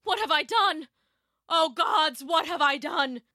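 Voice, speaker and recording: A woman's voice: high voice, unhurried, pushed and bright, no echo, studio quality, pitched above the performer's own voice. A woman playing a proud, greedy king and father in a story, declaiming in a pompous, theatrical way. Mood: anguished, remorseful.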